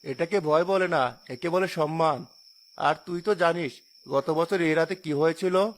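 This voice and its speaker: Man playing a solemn, high-voiced boatman. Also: a little slow, natural voice. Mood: solemn warning. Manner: earnest, solemn. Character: devout, cautious boatman who reveres the river. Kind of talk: cartoon horror story acting.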